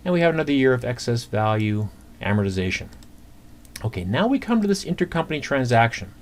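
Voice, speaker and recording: Over clear background noise and keyboard clicks, a man with a medium-pitched voice teaches accounting in an informal screencast. He works through figures step by step, conversationally and haltingly. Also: sing-song. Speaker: accounting instructor. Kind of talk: informal screencast lesson, explaining worked calculations. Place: indoors at a computer.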